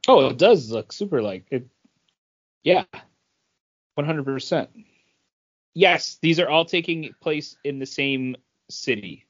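A man's voice: fairly high pitch, expressive, slow, long pauses, muffled recording. A man co-hosting a podcast in a casual chat about comic books. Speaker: podcast co-host.

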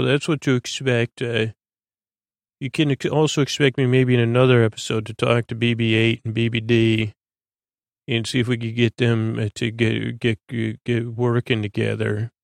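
A man hosting a bedtime podcast in a creaky, lulling voice, droning along in a halting, stammering, meandering monologue meant to help listeners sleep. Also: mid-range voice, no room sound, studio quality. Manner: halting, stammering, repeats and plays with words. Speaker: podcast host.

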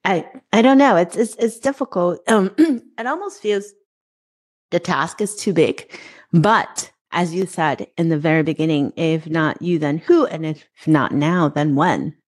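A middle-aged woman with a fairly low voice hosts a conversational podcast. She sounds earnest and reflective, pausing to find her words as she chats with a guest. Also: a little mellow, breathy edge. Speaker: podcast host. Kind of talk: casual conversational interview.

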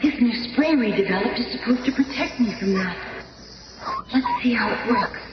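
An actress playing a young invisible superheroine in a radio drama monologue, heard from a distance. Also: female voice, on the high side, expressive, moderate pace, music in the background, natural voice. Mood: musing. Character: young invisible superheroine testing her powers. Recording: distant, echoey, muffled, noisy recording.

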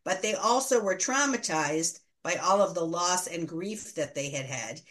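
A woman's voice: lowish voice, animated, a little slow, a bit bright. Thoughtful woman explaining like a teacher. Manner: thoughtful, didactic, self-correcting. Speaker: history scholar and teacher, interview guest. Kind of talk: explanatory conversational interview.

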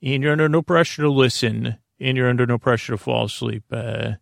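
A man hosting a sleep podcast, talking in a slow, meandering, soothing monologue that often loses its thread, with a creaky voice. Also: medium pitch, fairly even intonation, no echo, studio quality. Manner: meandering, self-interrupting, gently soothing. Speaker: podcast host. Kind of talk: slow rambling bedtime monologue.

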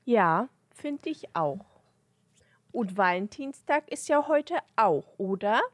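A woman's voice: mid-range voice, expressive, slow, no room sound. A woman bantering casually as a podcast co-host in a blunt, teasing way.

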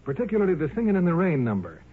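Animated 1950s radio announcer, a man. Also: rapid-fire, breathy edge. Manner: polished, emphatic sales pitch. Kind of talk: 1950s scripted radio advertising. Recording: muffled 1950s radio recording.

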